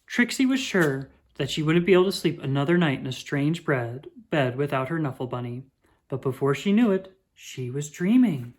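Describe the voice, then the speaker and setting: A woman reading a children's picture book aloud with expressive, drawn-out emphasis. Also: sits low. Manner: animated, dramatic emphasis with drawn-out words.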